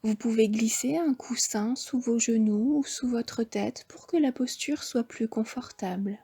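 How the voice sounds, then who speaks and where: A woman with a French accent guiding a yoga nidra relaxation, giving slow, soft, half-whispered breathing cues. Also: a little high, husky. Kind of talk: guided relaxation instruction.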